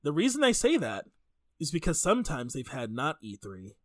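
Male podcast host, informal and joking storyteller, very lively intonation, close dry sound. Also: a little high, moderate pace, dry recording. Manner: informal, jokey, sweary. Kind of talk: casual chatty podcast storytelling.

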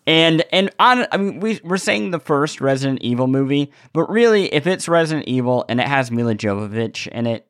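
Male podcast co-host, playful and hyperbolic, lively swooping pitch with gravelly fry. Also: brisk, few pauses, dry recording, studio quality. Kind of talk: casual chat.

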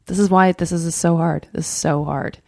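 A woman hosting a podcast in casual chat, playful and animated as she thinks out loud. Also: on the low side, normal speed, vocal fry, no room sound, clean studio sound. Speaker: podcast host.

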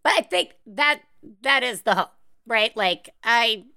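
A woman from the Deep South hosting a podcast, chatting casually with lots of fillers and laughs. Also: animated, a little slow, pushed and bright, clear, resonant tone, no echo, clean studio sound. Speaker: podcast host. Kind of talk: casual interview chat.

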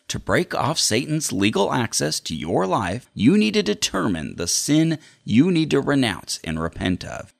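A man's voice: mid-range voice, vocal fry. A man performing a dramatic reading as a deliverance preacher, solemnly instructing and warning against the devil with theatrical emphasis.

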